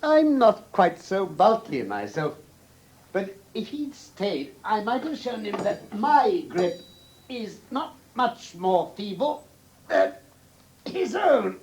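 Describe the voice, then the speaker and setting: An actor playing a shrewd, drily witty gentleman detective with precise, urbane Received Pronunciation, in a classic radio drama. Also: sits high, very animated, slow, slight background noise, heavily acted, low-quality recording. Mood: amused, unruffled. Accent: English Received Pronunciation.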